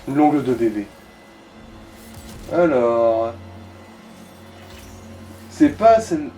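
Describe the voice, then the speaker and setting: A man's voice: mid-range voice, lively intonation, extremely slow, mellow, recorded from a distance, soft background music, rough recording. A man giving casual, informal gaming commentary, coaching a player and swearing now and then. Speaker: let's play co-host guiding the player.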